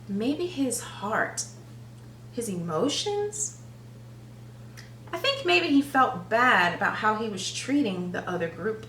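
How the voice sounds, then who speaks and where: Animated, unhurried woman reading a children's story. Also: a little high. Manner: deliberate, dramatic phrasing. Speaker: read-aloud storyteller for young children. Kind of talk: expressive children's story narration with questions to listeners. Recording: echoey room, distant mic, faint noise.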